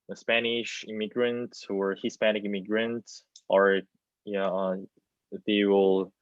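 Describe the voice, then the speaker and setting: A man's voice: fairly low pitch, no echo. A man in his twenties, a non-native English speaker, talking hesitantly as a student panelist and searching for words on a dull video call. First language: not English. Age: 20s. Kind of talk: informal panel discussion. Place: plain room indoors. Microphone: wireless earbuds on video call.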